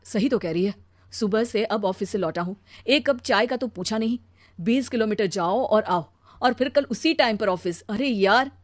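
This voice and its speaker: A woman's voice: medium pitch, moderate pace, dry recording, natural voice. A woman voicing a mild, anxious, put-upon working husband in a cartoon story, with a plaintive, weary manner. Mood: exasperated. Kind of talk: animated cartoon story dialogue.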